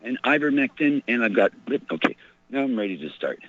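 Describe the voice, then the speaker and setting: A man calling in to a radio show with a hoarse voice, rambling and distracted as he talks. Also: medium pitch, sing-song, brisk, fairly bright tone, no room sound. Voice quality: hoarse, husky. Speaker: caller. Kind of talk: phone call to radio show. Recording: muffled lo-fi phone line.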